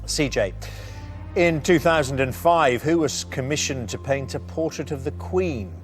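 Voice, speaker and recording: A man's voice: medium pitch, unhurried. A man presents a television quiz show in a mid-range voice, addressing contestants and reading out questions. Faint music sits behind him in the studio.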